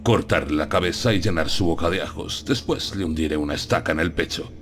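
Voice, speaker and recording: Guy doing a really low voiced old professor vampire hunter, solemn n lecturing, gothic audiobook, music behind. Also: lightly acted. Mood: grim. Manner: solemn, didactic, deliberate phrasing. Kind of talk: dramatised audiobook acting.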